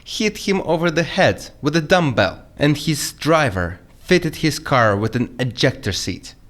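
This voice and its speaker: Curt, commanding Kremlin official. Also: male voice, a little high, animated, a little slow, a bit bright. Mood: sceptical. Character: commanding male Russian Kremlin official overseeing a plot. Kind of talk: audio drama acting.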